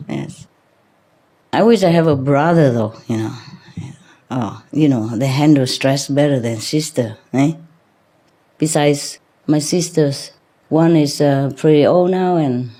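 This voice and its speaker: Chatty low-voiced woman teacher. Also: warm tone. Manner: chatty, laughs often, teasing. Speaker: spiritual teacher. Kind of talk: casual talk to a group.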